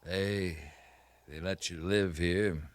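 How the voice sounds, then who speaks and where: Deep-voiced, weary American cavalry captain. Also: male voice, fairly even intonation, no echo. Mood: subdued. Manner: terse, halting, weary. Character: weakened, weary cavalry captain, commanding and dry-humored. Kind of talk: 1950s radio western acting. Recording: noisy vintage 1950s radio recording.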